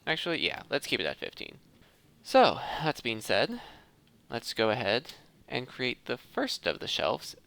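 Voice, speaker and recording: Bright, animated man narrating his gameplay. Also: mid-range voice, creaky, clear, resonant tone. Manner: thinking aloud, talking through each step. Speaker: host of a gaming video series. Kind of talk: casual gameplay commentary.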